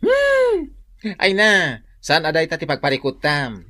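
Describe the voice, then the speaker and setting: A sassy, gossipy cousin in an Ilocano-accented radio drama. The voice swoops up and down with indignant exclamations and teasing. The pitch leaps about freely. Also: huge pitch swings, measured pace, pushed and bright, dry recording, exaggerated voice. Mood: chatty. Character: gossipy, sassy cousin who takes the friend's side.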